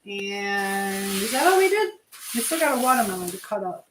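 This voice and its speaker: A woman's voice: medium pitch, sing-song, moderate pace, fairly bright tone. A woman hosting a casual live stream while busy in the kitchen, chattily talking to herself and to her viewers and reading out comments. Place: indoors in a kitchen. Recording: echoey room with clear background noise.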